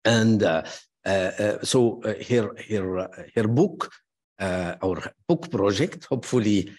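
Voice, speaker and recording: A man moderating an academic panel, introducing speakers in a halting way with many fillers and restarts, adding warm praise and personal asides. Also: lowish voice.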